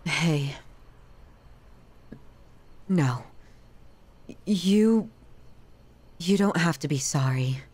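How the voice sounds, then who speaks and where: A woman's voice: darkish tone, breathy edge, full character voice. A woman playing a sadistic, possessive young yandere on the run in an intimate audio roleplay, speaking theatrically with menacing sweetness. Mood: subdued, apologetic. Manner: theatrical, teasing, drawn-out phrasing with menacing sweetness. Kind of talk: intimate ASMR audio roleplay, addressing the listener. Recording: rumbling low background noise.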